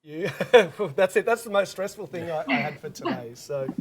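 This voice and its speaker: A man's voice: high-pitched, expressive, moderate pace. A man hosting a live online whisky masterclass, upbeat and laughing often. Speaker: host.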